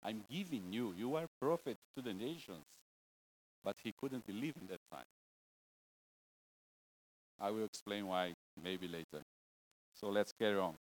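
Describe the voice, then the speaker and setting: A male preacher from Brazil speaks with a Brazilian Portuguese accent, delivering a casual church sermon. His English is broken and halting, and he keeps the tone light with jokes at his own expense. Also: lowish voice, rough recording. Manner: joking, self-deprecating, broken English. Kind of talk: informal sermon.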